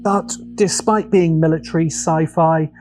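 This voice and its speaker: A man narrating a scripted video essay with dramatic, deliberate phrasing and weighty pauses. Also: fairly high pitch, fairly even intonation, a little mellow. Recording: music behind the voice. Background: music.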